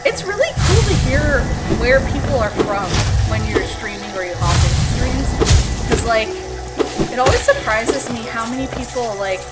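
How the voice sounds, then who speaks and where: A woman streamer in her thirties, from western Canada, talking easily to her viewers. She drifts from thought to thought and fills the gaps with "like" and "um". Loud music plays behind her throughout. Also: a little high, poor audio. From Canada (Alberta). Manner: rambling, many fillers like "like" and "um". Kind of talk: casual chat with stream viewers. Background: loud background music.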